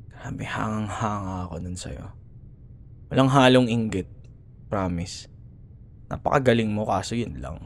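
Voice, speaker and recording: A man's voice: lowish voice, a little slow, pauses often. A man with a Filipino accent playing a grumbling, teasing young school rival who secretly cares, in a sarcastic, casual ASMR boyfriend roleplay. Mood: sulky, grudging. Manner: sarcastic, casual bickering with sighs and murmured asides.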